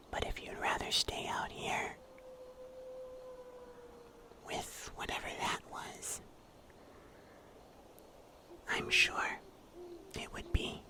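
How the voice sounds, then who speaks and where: A man playing a wry, protective huntsman in a breathy, whispered ASMR roleplay. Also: lightly acted. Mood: wry, coaxing. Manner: slow, hushed, gently teasing. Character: mysterious huntsman in dark woods, wry and protective.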